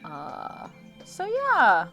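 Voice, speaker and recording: A woman's voice: on the high side, huge pitch swings, drawn-out words, a bit bright. Chatty woman streamer over background music. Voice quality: slight lisp from a missing molar. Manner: rambling, chatty, self-interrupting. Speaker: streamer hosting an art livestream. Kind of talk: casual livestream chat. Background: lo-fi music track.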